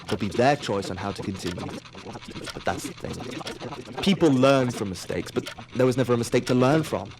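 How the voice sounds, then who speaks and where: An actor playing a wry, ominous narrator of a sci-fi audio drama, dramatic and sardonic. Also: male voice, medium pitch, expressive, moderate pace, noisy background, lightly acted. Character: wry, ominous, all-seeing storyteller of a sci-fi tale.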